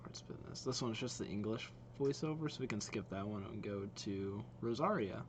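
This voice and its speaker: A man hosting a reaction video with casual, impressed commentary, thinking out loud as he watches. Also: lowish voice, a little fast, noisy background. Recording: game trailer music playing behind. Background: game trailer audio and music.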